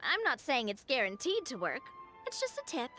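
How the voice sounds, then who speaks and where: Bright, encouraging young lady. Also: high voice, huge pitch swings, normal speed, faint music behind, exaggerated voice. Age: young adult. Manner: warm, encouraging, gently persuasive. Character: upbeat, kind young noblewoman with a sweet tooth. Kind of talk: video game dialogue.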